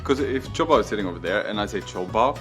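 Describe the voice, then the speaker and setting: A young man with a Swedish accent, talking casually with his partner in an animated, exasperated way. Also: fairly low pitch, quick pace, music in the background. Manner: animated, exasperated ranting with swearing. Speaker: vlog host. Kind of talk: casual couple chat.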